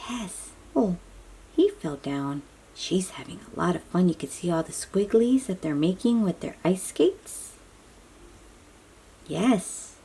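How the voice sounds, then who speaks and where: A woman with a soft, breathy voice reads a picture book aloud to young children, gently explaining and chatting to them as she goes. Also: fairly low pitch, rough recording. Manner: slow, child-directed, encouraging questions and affirmations. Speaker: read-aloud storybook host.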